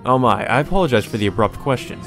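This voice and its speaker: A man's voice: medium pitch, expressive, quick pace. A man reading a visual novel aloud as a let's player, with informal, colloquial delivery. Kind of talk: visual novel read-aloud with casual commentary. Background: game music.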